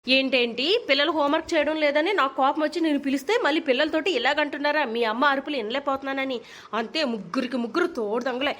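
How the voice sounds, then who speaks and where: A woman's voice: sits high, rapid-fire, a bit bright. A woman voicing an exasperated, nagging young mother in a comedy cartoon, with a Telugu accent and sarcastic, threatening scolding. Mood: angry complaining.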